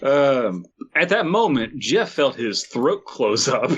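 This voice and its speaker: A man co-hosting a podcast, reading a story aloud and cracking deadpan jokes between passages. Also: mid-range voice, takes it slowly, husky, muffled recording. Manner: deadpan jokes, animated when acting out scenes. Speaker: podcast co-host. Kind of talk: reading and joking with friends.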